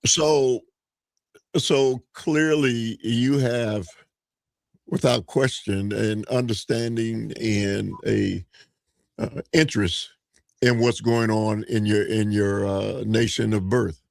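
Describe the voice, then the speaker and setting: A man hosting a live talk show, interviewing a guest in a deliberate, halting way. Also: on the low side, fairly even intonation, vocal fry, no room sound. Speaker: radio talk show host.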